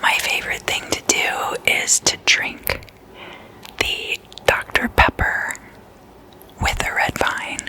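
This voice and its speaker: An ASMR host speaks in a hushed whisper with a very bright, sibilant edge. The delivery is unhurried and intimate, like casual chat with a friend at a slumber party. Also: poor audio. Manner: intimate, addressing the listener as a guest.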